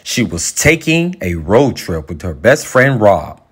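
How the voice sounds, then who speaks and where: A man reading scripted radio drama narration in a slow storyteller manner. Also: on the low side, big pitch swings, noisy background.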